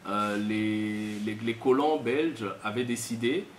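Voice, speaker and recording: A man's voice: lowish voice, takes it slowly. Congolese-accented man explaining in an echoey room. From DR Congo, Kasai region. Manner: didactic, step-by-step with repetition. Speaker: commentator on history. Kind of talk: unscripted explanatory talk. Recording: echoey room, faint background noise.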